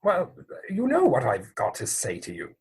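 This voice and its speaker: A man acting as an earnest, lovestruck gentleman in a period stage comedy. The voice is breathy and rough-edged and leaps up and down expressively as he stammers through his flustered courtship. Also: medium pitch, huge pitch swings, normal speed, full character voice. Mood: flustered, hesitant. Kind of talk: theatrical dramatic reading of period comedy.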